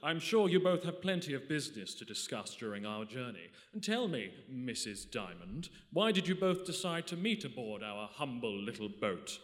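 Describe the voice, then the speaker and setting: Actor as a prying first officer, swooping intonation. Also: male voice, mid-range voice, very animated, normal speed, few pauses, close to an everyday voice. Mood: probing. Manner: polite, pointed, probing. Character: smooth, prying, suspicious ship's first officer. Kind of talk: comic radio play acting. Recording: echoey hall, live stage recording.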